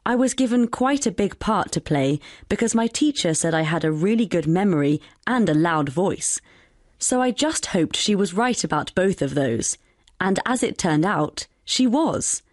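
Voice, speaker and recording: Woman, low-ish voice, upbeat clear exam monologue, dry booth. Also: lively intonation, normal speed, no echo. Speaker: exam recording speaker. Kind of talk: scripted monologue reading.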